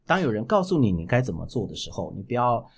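A man's voice: mid-range voice, sing-song, fast talker, creaky, slightly rough. A man with a Taiwanese Mandarin accent answering interview questions as a voice actor, explaining things with examples and repeating key points. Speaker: professional voice actor, podcast guest.

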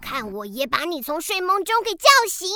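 Bossy candy fairy, very high and wildly animated, huffy scolding delivery for children's radio drama. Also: female voice, normal speed, dry recording, studio quality, exaggerated voice. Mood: irritated. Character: bossy, grumpy little candy-tree fairy.

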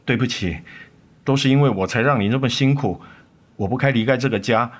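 A man's voice: medium pitch, brisk, close to an everyday voice. A man acting a cheating husband and father in a radio drama, by turns defensive and pleading, with emotional, faltering delivery. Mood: remorseful. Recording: studio radio drama with music underneath.

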